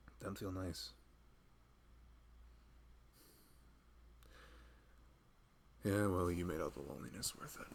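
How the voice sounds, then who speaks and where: Guy doing a sweet anxious husband asmr thing, real low gravelly voice, rambly and chatty. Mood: tender, wistful. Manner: rambling, hesitant, conversational, frequent "you know". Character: loving young husband, tender, rambling, anxious about fatherhood. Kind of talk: intimate ASMR boyfriend roleplay, improvised-sounding chat.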